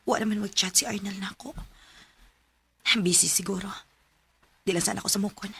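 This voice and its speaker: A woman's voice: mid-range voice, fairly even intonation, normal speed, vocal fry, natural voice. A woman playing a lovestruck, hesitant young woman confiding in a Cebuano Filipino accent in a radio romance drama. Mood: dejected.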